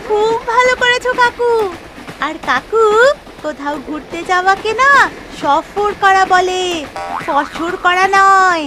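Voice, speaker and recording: Outspoken, bossy young girl for a cartoon, adult female actor, very high voice, perky. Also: takes it slowly, very noisy. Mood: correcting. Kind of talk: Bengali cartoon voice acting. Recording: loud music behind the voice.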